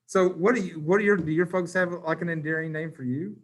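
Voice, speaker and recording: A man's voice: fairly high pitch, quick pace. A man teaching a class over a video call, joking and teasing his students, with many restarts.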